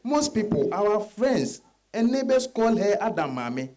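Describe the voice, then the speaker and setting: Mellow tone, expressive pitch, male suitor acted by a man, evasive, insistent, smooth-talking, stage-style drama. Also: unhurried, a little hiss or hum.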